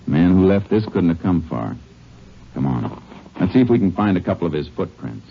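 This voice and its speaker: Muffled vintage radio recording with music. A low-voiced American lawman, steady and methodical, talks in deliberate, plain words in a 1950s western radio drama. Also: creaky, heavily acted. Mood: focused, intent. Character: steady, methodical male Texas Ranger investigator in a western. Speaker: lead actor in a radio drama. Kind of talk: 1950s radio drama acting with first-person narration.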